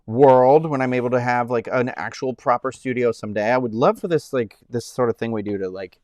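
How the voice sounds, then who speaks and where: A man in his thirties chats loosely to his live-stream viewers. His talk wanders, full of "like" and "um" and sentences he starts over. His pitch sits in the middle for a man, and the sound is dry and close, with no room echo. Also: brisk, darkish tone. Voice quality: says he has a high-pitched voice. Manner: rambling, many fillers and restarts. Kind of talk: casual live-stream chat.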